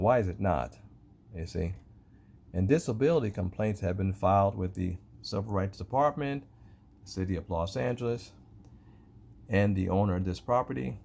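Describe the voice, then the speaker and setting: Deep, gravelly-voiced American man. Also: a little mellow. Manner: halting, repetitive, emphatic. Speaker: complainant narrating own video. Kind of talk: unscripted spoken commentary on a grievance.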